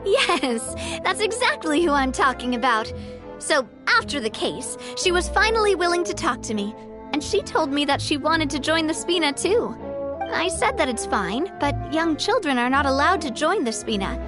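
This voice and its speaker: A poised young gang leader, bright and high in pitch and gracious in her phrasing. Faint game music runs under her voice. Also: very animated, normal speed. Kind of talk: video game voice acting.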